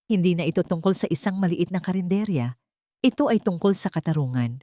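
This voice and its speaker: Female storyteller, lower register, dry close sound, solemn and deliberate dramatic narration. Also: expressive, dark tone, dry recording.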